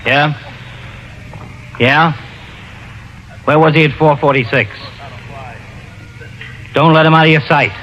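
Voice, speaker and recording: An American actor playing a skeptical, businesslike police lieutenant in an old radio crime drama. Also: male voice, on the high side, fairly even intonation, slow pace, pauses often, a bit bright. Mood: grim. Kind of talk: 1940s-50s radio drama acting. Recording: dull, noisy old radio recording.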